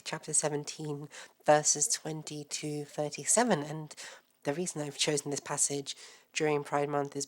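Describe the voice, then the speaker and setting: A woman hosting an educational reading, talking in a relaxed, explanatory way, her voice a bit off from a cold. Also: sits low. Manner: relaxed, self-deprecating, explanatory. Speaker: host.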